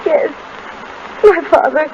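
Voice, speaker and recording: An actress playing a distraught young daughter, hesitant and stammering, in 1930s radio style. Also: female voice, high-pitched, slow pace, a little mellow, a faint crowd. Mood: frightened, hesitant. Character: distraught, frightened young daughter shielding her father. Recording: dull, noisy 1930s radio recording.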